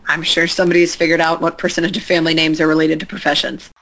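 A woman's voice: low voice, very fast, muffled recording. A woman playing a terse reserved scientist. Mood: guarded. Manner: calm, terse instructions. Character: reserved woman scientist guiding over radio. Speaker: scientist guiding a spacewalk. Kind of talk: audio drama acting.

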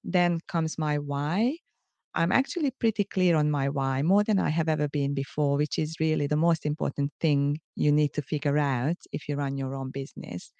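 A middle-aged woman with a Hungarian accent, hosting a solo podcast in a measured, earnest, explanatory way. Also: low-pitched, a little mellow, no echo, lo-fi sound. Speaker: podcast host. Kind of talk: solo podcast monologue, semi-scripted.

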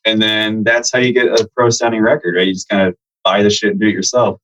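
Man from Virginia, bassist guest, lowish voice, relaxed, rambly, talks from a home room. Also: fast, a little hiss or hum, low-quality recording. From Virginia, USA. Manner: relaxed, rambling, says "like" often. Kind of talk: casual interview chat. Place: home room where the band records.

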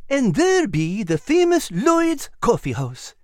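Excitable, high-pitched little animal. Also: male voice, slow, dry recording, studio quality, full character voice. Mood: chatty, proud. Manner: folksy, ungrammatical, eager. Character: chubby, earnest, excitable male animal with a paw.

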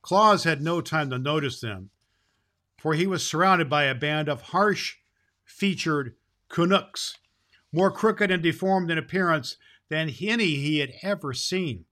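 A man's voice: a little high, a little slow, sharp tone. A man reading a storybook aloud to children in the role of Santa Claus, with a dramatic, emphatic delivery.

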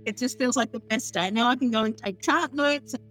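A woman guest on a podcast, chatting casually in a smooth, high-pitched voice and cackling as she laughs. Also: a little fast, a bit bright, faint music behind. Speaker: astrologer guest. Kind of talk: casual interview chat.

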